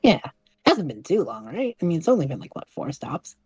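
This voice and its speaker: Woman voicing an easygoing young man, very low, mellow. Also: sing-song, fairly quick, slightly rough, no echo, full character voice. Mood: playful, casual. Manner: breezy, reassuring, unworried. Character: Trevor, easygoing young time traveler man. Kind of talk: conversational audio drama acting.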